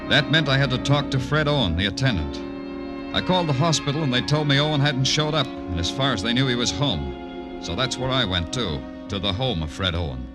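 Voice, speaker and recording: An actor playing a weary, brooding New York police detective in a 1950s radio drama, with a clipped, dry, sardonic delivery. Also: male voice, medium pitch, animated, a little fast, lightly acted. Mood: brooding narration. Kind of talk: 1950s radio drama acting, with first-person narration. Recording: vintage radio recording with music.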